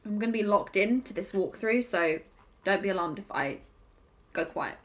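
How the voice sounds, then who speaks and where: A woman's voice: medium pitch, expressive, normal speed. A woman chatting casually while she plays a game on stream, explaining things at length.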